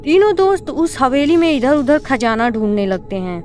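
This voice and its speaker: A woman's voice: high-pitched, very animated, normal speed, darkish tone. A woman reading scripted narration for a cartoon horror story.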